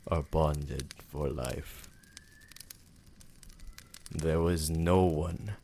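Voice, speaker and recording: Casting: man to play romantic, devoted vampire for ASMR roleplay. Rumbling creaky bass, slow formal delivery, possessive tenderness, slight noise. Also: darkish tone, exaggerated voice. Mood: adoring.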